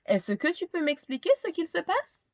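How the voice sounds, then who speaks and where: A woman reading a children's picture book aloud expressively, pausing to put simple questions to young listeners. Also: extremely high pitch, sing-song, a little mellow, no echo. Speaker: storytime reader.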